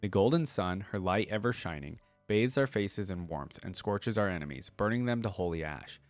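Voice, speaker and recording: Guy reading as a devout sun worshipper, reverent hymn recital, lowish, really dry sound. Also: expressive, normal speed, no room sound, soft background music. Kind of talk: fantasy hymn read-aloud.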